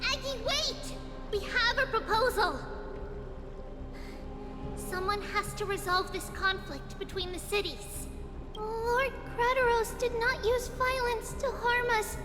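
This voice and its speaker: A woman voicing a small, earnest, polite girl peacemaker in an anime-style fantasy game, pleading gently. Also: very high voice, takes it slowly. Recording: long reverb, music behind. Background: music.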